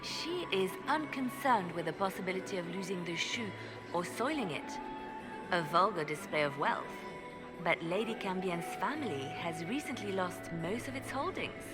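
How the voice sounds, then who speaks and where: A woman voices a poised spymaster who sizes people up with a dry, scornful wit. Her tone is cool and knowing, set a little low, with music swelling clearly under the game scene. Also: animated, normal speed, lightly acted. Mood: wry. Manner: cool, knowing, quietly sardonic. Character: shrewd poised female spymaster, dryly scornful. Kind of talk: video game cutscene dialogue. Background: orchestral music.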